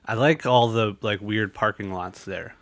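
A man's voice: lowish voice, unhurried, fairly bright tone. A man hosting a podcast, talking in a loose, rambling way with lots of fillers and some swearing, his voice stuffed up and nasal from a cold. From San Diego area. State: congested, nasal. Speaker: podcast host. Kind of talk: casual podcast chat.

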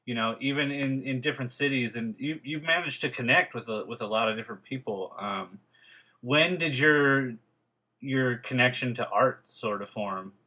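A man's voice: mid-range voice, moderate pace, bright, forceful tone, poor audio. A young man hosting a podcast, chatting casually and rambling with many fillers and restarts. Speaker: podcast host. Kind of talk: casual interview chat.

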